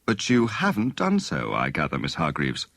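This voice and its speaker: Man reading as English gentleman detective, formal, polite, probing, lively intonation, slightly husky, audiobook character. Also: a bass voice, moderate pace, no echo, clean studio sound, pitched below the performer's own voice, heavily acted. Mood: polite, attentive. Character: courteous, measured gentleman private detective.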